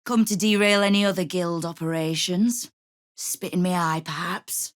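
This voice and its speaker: A woman's voice: lowish voice, steady tone, normal speed, no room sound, studio quality, natural voice. A woman playing a hard-bitten, sardonic crime guild boss in a video game, with a wry, commanding manner. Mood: scornful, sardonic. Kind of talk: video game character dialogue.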